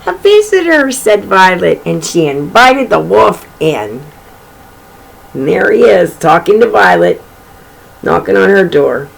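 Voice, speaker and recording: A woman's voice: fairly low pitch, animated, slow pace, vocal fry, breathy edge, rough recording. A woman reading a children's storybook aloud in an informal way, with chatty, folksy asides about the pictures.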